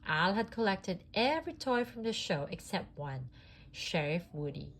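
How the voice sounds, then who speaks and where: A woman reading a children's story aloud in a deliberate, expressive narrating style. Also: fairly low pitch, husky. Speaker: storybook reader.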